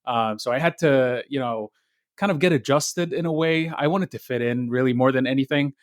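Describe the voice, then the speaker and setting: A young man from Egypt, a guest on a podcast, speaking in a soft and friendly way with many ums. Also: medium pitch, brisk, no echo, studio quality. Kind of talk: casual interview.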